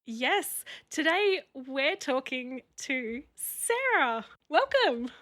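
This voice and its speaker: A woman's voice: high voice, big pitch swings, very slow, a bit bright, no room sound. A woman hosting a podcast in a friendly, upbeat way. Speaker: podcast host. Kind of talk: casual interview hosting.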